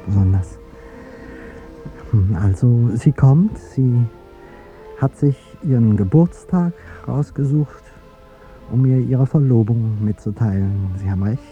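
A man's voice: medium pitch, lively intonation, slow, mellow. An actor playing an aging, dignified president who hides his heartbreak, speaking with hesitant, formal politeness in a radio drama. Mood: wounded, pointed. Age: older. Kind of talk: 1950s German radio drama acting. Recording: dull 1950s radio recording with music.

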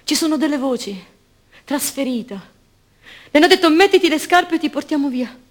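A woman's voice: high voice, expressive, normal speed. An actress playing an anguished, fierce captive mother robbed of her baby, in an intense, insistent radio monologue full of repetition. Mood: despairing, grieving.

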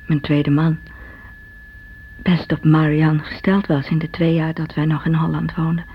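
An actress playing an envious, bitter sister, confiding her brooding recollections in a radio drama. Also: low voice. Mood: reflective. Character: Irene, envious, bitter divorced sister, self-doubting. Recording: dull radio recording with faint hum.

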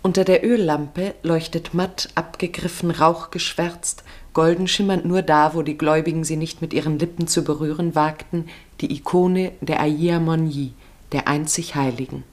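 A woman narrating a literary radio play in a serious, measured, descriptive manner. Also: low-pitched, fairly even intonation, a little mellow.

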